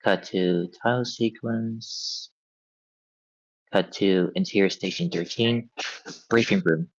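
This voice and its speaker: Male narrator for script directions; lowish voice, scripted read, slightly muffled lo-fi audio. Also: fairly even intonation, slow. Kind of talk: reading stage directions at a table read. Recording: dull, band-limited audio.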